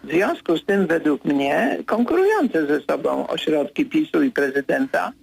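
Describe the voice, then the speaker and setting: An older man, a political commentator, answering as a guest in a radio studio interview, hesitant with many fillers, then firm and brisk. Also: a little high, lively intonation, gravelly.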